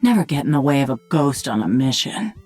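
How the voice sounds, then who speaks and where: A woman playing a tough, commanding, sardonic warrior queen of an alien swarm in a video game, with a husky voice. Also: a bass voice, very animated, a little fast, a little mellow, faint music behind, full character voice. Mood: gloating, taunting. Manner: commanding, dry sarcasm. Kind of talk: video game character acting.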